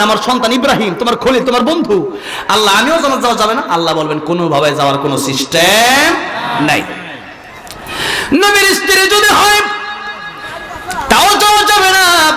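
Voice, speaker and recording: Bangladeshi guy preaching waz, really high forceful voice, exhorting with questions, big echoey reverb on the pa. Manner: forceful, exhorting, repeats questions to rouse listeners. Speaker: Islamic preacher. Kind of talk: impassioned waz sermon with call-and-response questions and Arabic recitation. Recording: echoing PA with long reverb.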